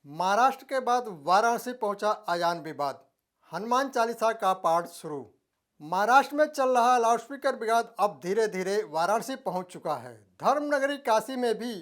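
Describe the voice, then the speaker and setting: A news presenter reading a scripted report in a formal, measured way, phrase by phrase. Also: medium pitch.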